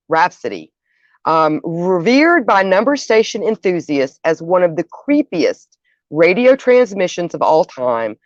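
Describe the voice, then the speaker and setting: A woman's voice: on the low side, no room sound, dull audio. A woman hosting a show, presenting partly from notes and sometimes stumbling over words and correcting herself. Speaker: show host.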